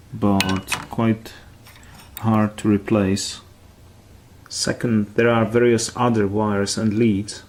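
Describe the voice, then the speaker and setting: A man giving a careful, step-by-step hands-on repair tutorial in halting phrases. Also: lowish voice, vocal fry, darkish tone.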